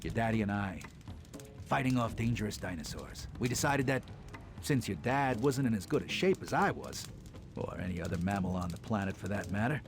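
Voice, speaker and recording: Proud male sabre-toothed tiger, low voice, dry wit, self-assured cartoon game narrator, music behind. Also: lively intonation, brisk. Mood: boastful. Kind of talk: animated game cutscene narration.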